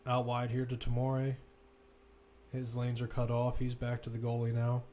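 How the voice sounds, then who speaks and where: A man's voice: medium pitch, monotone, normal speed, warm tone, slight background noise. A man giving live football play-by-play commentary, describing the action as it runs, with asides and self-corrections.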